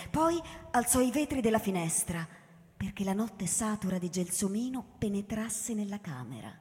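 A woman's voice: medium pitch, darkish tone. A woman narrating a radio drama as dramatic scripted storytelling. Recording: reverberant, music underneath.

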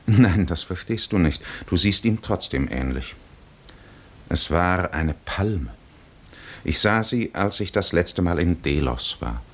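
An actor playing a weary, worldly wandering castaway hero, middle-aged and gently teasing, with measured, musing delivery in a 1950s German radio drama. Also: male voice, low voice, lively intonation, full character voice.

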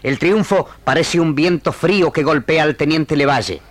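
A man's voice: fairly high pitch, moderate pace, lightly acted. A man narrating a frontier radio serial as a solemn storyteller, in a dramatic scripted style. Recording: noisy old radio archive tape.

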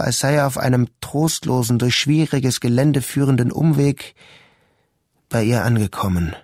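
A man's voice: medium pitch, darkish tone. Male radio drama narrator over music.

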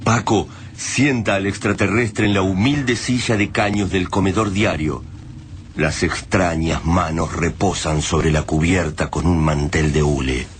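A man's voice: deep voice, fairly even intonation, unhurried, slight background noise. A man with an Argentine accent narrating a science fiction radio drama as a grave, solemn storyteller. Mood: grave, suspenseful. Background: music.

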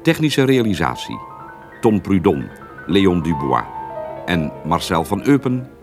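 A man's voice: low-pitched, big pitch swings, a little slow. A man working as a radio announcer, giving a formal scripted reading of credits. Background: music.

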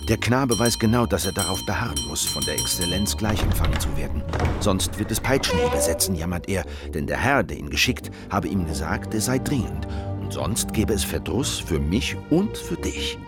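Hardened rebel, very low voice, grim, terse, intense, radio drama, clear music behind. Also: male voice, expressive, normal speed. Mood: suspenseful. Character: hardened veteran rebel, grim, probing, determined. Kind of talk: German radio drama acting and narration.

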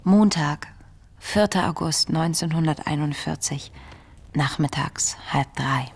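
An actress playing an earnest, introspective young woman reading her wartime diary in a radio play, measured and intimate. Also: low-pitched, husky, exaggerated voice. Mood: pensive. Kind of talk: radio drama diary monologue. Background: music.

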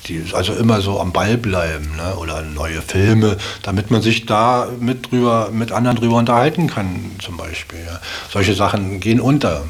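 Guy with a lowish voice chatting about his life, halting, bit of hiss behind him. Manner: halting, searching for words, tag questions. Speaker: interviewee in a radio feature. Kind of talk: casual personal reflection. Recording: hissy recording with steady background noise.

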